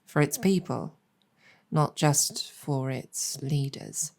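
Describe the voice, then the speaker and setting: A gracious demon general voiced by a woman hosts an honored guest in an improvised ASMR roleplay. Her voice sits very low and dark. She speaks softly and very slowly, with wry, measured courtesy and small hesitations. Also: gravelly, full character voice. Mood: inviting, earnest.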